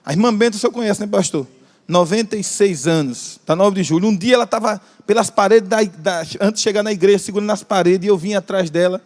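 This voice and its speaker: A man preaching a sermon with a Brazilian Portuguese accent, impassioned, stretching out key phrases and joking with the congregation. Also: sits high. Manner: impassioned, drawn-out emphatic phrases, jokes with the congregation. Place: large church hall. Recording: long church hall reverb.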